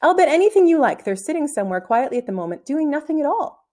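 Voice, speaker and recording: A woman reading a storybook as a brisk, self-assured, unworried deputy headmistress. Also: high voice, sing-song, darkish tone, voice pushed up. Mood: unconcerned.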